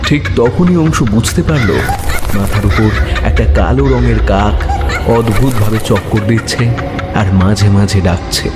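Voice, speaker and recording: A man narrating a horror story in a dramatic, suspenseful style with measured phrasing. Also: mid-range voice. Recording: long echoing reverb over music. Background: music.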